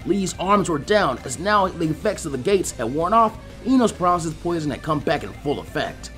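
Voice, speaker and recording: A man narrating an action story from a script in an expressive audiobook style. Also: on the high side, a little fast. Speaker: fan fiction audiobook narrator. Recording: music behind the voice.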